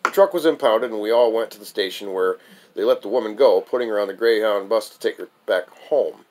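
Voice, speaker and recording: Guy with a kinda low voice reading out his own life story, bit wry. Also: a little fast, darkish tone, slight background noise, low-quality recording. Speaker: author reading own memoir. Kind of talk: memoir recitation, narrated reading.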